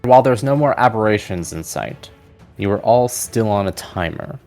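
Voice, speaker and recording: A man's voice: lowish voice, animated, normal speed, darkish tone, soft background music. A man narrating a tabletop roleplaying game as game master, in a casual, plain-spoken way.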